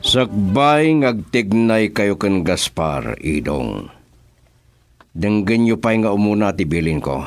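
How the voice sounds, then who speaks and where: An actor playing an authoritative, calculating crime boss in a radio drama, with a Filipino accent. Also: male voice, on the low side, sing-song, slow pace, dry recording, soft background music, heavily acted. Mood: commanding. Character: commanding male crime boss giving orders to henchmen.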